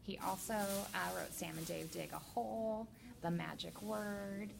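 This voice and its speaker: A woman reading a children's picture book aloud as a teacher librarian, with deliberate, expressive storytelling. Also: mid-range voice, vocal fry, background noise.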